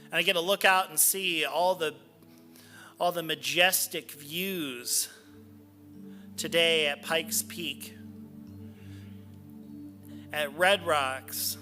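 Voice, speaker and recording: Slow, bright-voiced man leading prayer. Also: fairly high pitch, soft background music. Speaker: spiritual practitioner reading inspiration and leading prayer. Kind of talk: devotional reading and spoken prayer. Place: church sanctuary, speaking to a congregation.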